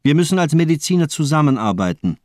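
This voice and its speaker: A man's voice: a little high, sing-song, quick pace, darkish tone, dry recording, clean studio sound. A man playing a worldly, composed surgeon with hidden menace in a radio drama, speaking in a polished, formal manner. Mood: smooth, guarded.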